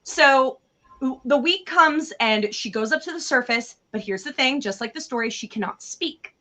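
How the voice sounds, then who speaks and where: A woman retelling a tale in a casual, chatty way, with an animated, theatrical and slangy manner. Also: sits high, moderate pace. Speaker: co-host retelling fairy tales.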